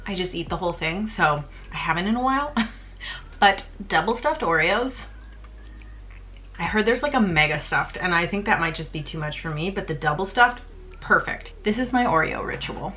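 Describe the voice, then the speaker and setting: A woman's voice: lowish voice, animated, normal speed, sharp tone, a little hiss or hum. A young woman, chatting in a casual home vlog about her snacks, bubbly and self-mocking, often laughing. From Canada. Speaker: vlogger.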